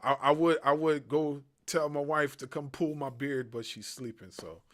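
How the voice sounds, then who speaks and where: A man in his forties hosting a casual chat, animated, laughing often and repeating his phrases. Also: fairly high pitch, normal speed. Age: 40s.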